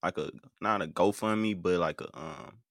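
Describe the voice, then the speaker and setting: Low-voiced American man hosting casually. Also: normal speed, fairly bright tone, no echo, studio quality. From United States. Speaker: podcast game show host. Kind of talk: casual live call-in chat.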